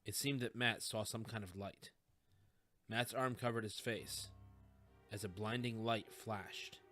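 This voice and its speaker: A man's voice: on the low side, steady tone, a little slow, fairly bright tone, faint music behind. A man reading scripted fiction as an audiobook narrator.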